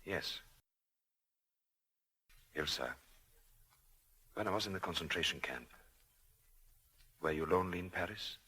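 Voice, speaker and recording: A brave, gentle husband and resistance leader in a 1940s radio drama. His voice is low and husky with a very forceful edge. He speaks formally, measured and earnest. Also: exaggerated voice. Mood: tender. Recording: old 1940s radio broadcast.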